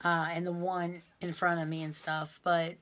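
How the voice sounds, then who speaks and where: A middle-aged woman with a loud, carrying voice, telling a personal story to her viewers in a rambling, heartfelt way. She fills her talk with "um" and calls her audience "y'all.". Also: on the low side, level tone, normal speed. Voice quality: loud voice that carries. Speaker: vlogger recounting her time in prison. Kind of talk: casual storytelling to camera.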